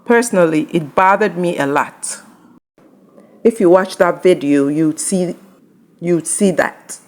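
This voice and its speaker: A woman's voice: fairly low pitch, darkish tone. A woman hosting her own channel, carefully translating an interview into English; Ga is not her first language.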